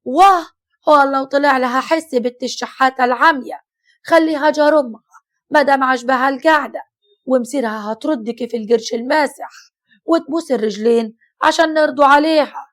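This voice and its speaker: A woman voicing a spiteful older mother-in-law who bursts out in loud scorn. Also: sits high, a little fast, lightly acted. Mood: furious scorn.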